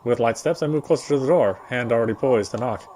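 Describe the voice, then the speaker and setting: A man's voice: medium pitch, fast talker, darkish tone, slight background noise. A man reading visual novel narration aloud during a playthrough, sometimes stumbling and rereading a phrase. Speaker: gamer reading story text in a playthrough.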